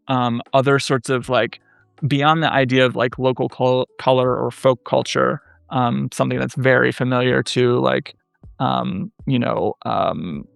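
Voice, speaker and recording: Man, gravelly fry, flat even delivery, thoughtful academic guest, dry close mic. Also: medium pitch, no echo. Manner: thoughtful, many "um" pauses, measured. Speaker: podcast guest, French studies scholar. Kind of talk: academic interview conversation.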